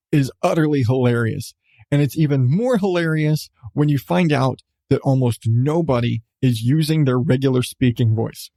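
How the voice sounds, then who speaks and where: A man hosting a podcast, giving a casual, rambling review with halting starts, repeated phrases and an irreverent, sweary manner. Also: medium pitch, dark tone, dry recording, studio quality.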